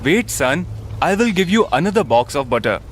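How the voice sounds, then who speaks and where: A man's voice: on the high side, sing-song, moderate pace, soft background music. A man playing a miserly, cheating village shopkeeper who bosses people around in a children's cartoon. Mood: smooth, placating. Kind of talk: animated children's story acting.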